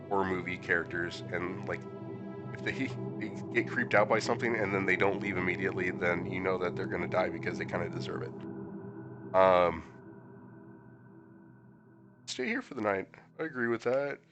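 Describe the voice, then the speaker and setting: A hoarse man with a fairly low voice streams a horror game, reading its lines out loud in a casual, sarcastic way. His voice sometimes shoots up high in disbelief. Game music plays clearly behind him. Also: normal speed, long pauses, dull audio. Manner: casual, sarcastic commentary with sudden high-pitched incredulous outbursts. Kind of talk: live stream reading a visual novel aloud.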